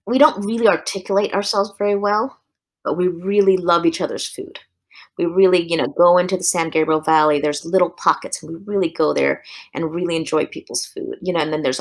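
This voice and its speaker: A woman from Los Angeles talks about her work as a chef in a relaxed interview. Her voice sits a little low, and she circles her thoughts with plenty of fillers and restarts. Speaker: chef and restaurant owner, guest. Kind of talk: casual interview talk.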